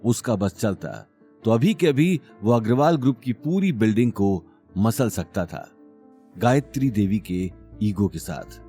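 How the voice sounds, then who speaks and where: A man's voice: on the low side, animated, unhurried, mellow, faint music behind. A man narrating a scripted audio drama serial in a dramatic storytelling style.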